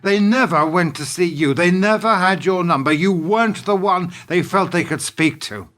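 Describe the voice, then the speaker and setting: A fairly high-pitched man's voice , acted for a radio play. He is a weary, middle-aged business partner who reasons earnestly and reproaches in measured phrases. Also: fairly bright tone. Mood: quietly reproachful.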